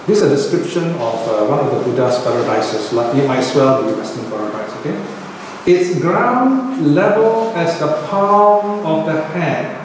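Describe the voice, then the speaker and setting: An older man teaching Buddhism in a loose, conversational way, often checking in with "okay" and "right". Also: a little high. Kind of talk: dharma talk. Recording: echoey hall, distant mic, noisy.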